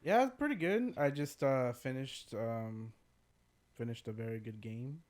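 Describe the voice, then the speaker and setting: A man with an average-pitched voice chats as a podcast co-host. His delivery is laid-back and halting, wandering from one thought to the next. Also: darkish tone. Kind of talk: casual gaming chat.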